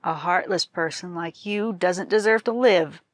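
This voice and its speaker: A woman's voice: on the low side, measured pace, no echo, lightly acted. A woman voicing a stern, righteous male government minister in a folktale, scolding formally with indignation. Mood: angry scorn.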